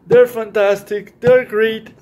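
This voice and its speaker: A man's voice: high voice, level tone, slow pace, darkish tone. A man hosting a children's story time, reading a picture book aloud and explaining it directly to young listeners.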